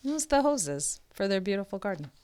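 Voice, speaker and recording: A woman reading a children's story aloud as a tutor, expressively and deliberately, with informal, encouraging asides to young listeners. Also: mid-range voice, big pitch swings, darkish tone, smooth. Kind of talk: modeled children's read-aloud with chatty asides.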